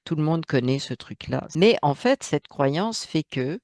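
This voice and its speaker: Man talking slowly with many pauses. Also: on the high side, animated, darkish tone, no echo, clean studio sound. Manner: hesitant, many fillers and restarts, emphatic asides. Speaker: channel host. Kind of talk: solo spoken monologue.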